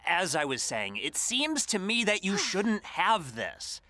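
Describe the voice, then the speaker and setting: A woman playing a put-upon, quietly scheming mayoral aide in a comedic audio drama, deadpan and deliberate. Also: fairly low pitch, no echo, exaggerated voice. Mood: dryly disapproving.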